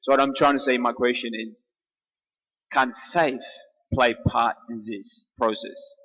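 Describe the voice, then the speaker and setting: An earnest, deliberate man asking a personal question from the floor at a public debate. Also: medium pitch, steady tone, pushed and bright. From born in Ethiopia. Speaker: audience questioner. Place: large town hall. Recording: echoey large hall.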